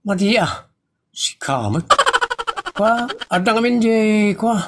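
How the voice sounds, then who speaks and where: A man with a Filipino accent playing an evasive, flustered husband in an audio comedy drama, with a husky, gravelly voice. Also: sits high, expressive, slow, heavy background noise, heavily acted.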